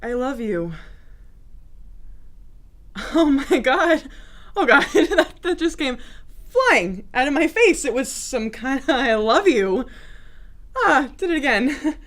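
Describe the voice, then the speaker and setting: A woman playing a flustered, lovesick young woman in a dramatic monologue, confessing in a halting, emotional way. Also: on the high side, lively intonation. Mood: flustered, elated. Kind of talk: dramatic TV monologue performed by a student. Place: student TV studio.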